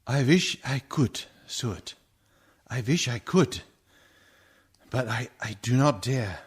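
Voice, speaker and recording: An actor in a radio horror play playing a grave old professor of medicine, husky, halting and ominous in formal phrasing. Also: male voice, medium pitch, lively intonation, heavily acted. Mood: ominous warning.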